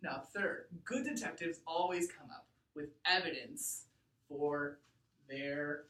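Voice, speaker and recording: Woman teacher in an echoey room. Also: sits low. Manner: deliberate, expressive, thinking aloud. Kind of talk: read-aloud lesson to a class. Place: classroom. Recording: echoey room, distant mic.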